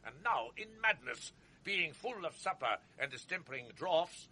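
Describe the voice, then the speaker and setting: A stern, indignant old senator. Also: male voice, on the high side, sing-song, moderate pace, sharp tone, full character voice. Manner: stern, imperious. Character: indignant older Venetian senator, father. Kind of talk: 1980s radio drama acting.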